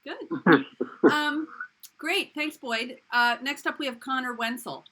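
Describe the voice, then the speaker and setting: A woman running an online group call, calling on people by name in a brisk way and laughing easily. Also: sits high, sing-song, very noisy. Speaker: call organizer. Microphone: video call.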